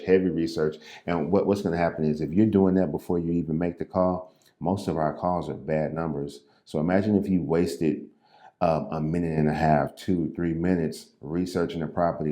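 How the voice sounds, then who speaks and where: Deep-voiced, low-key Midwestern man. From Midwest US, St. Louis. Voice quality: naturally low, somewhat monotone voice. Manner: unhurried, loose and conversational, with repeated words and fillers. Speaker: real estate agent and coach. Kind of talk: impromptu coaching talk to camera. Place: his call workspace, standing at a desk, roomy sound. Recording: echoey room.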